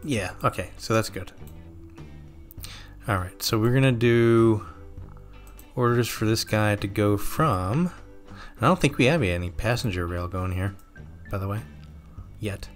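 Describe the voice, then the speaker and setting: Male let's-play commentator, lowish voice, laid-back think-aloud delivery, mildly sore throat, faint music bed. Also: measured pace. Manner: relaxed, thinking aloud with frequent ums and self-corrections. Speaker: gameplay commentator.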